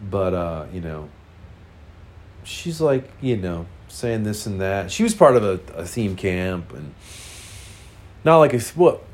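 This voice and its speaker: A man's voice: sits low, very animated, slow, long pauses. Man, nasal congested voice, casual storytelling, rambling, frank, lots of fillers.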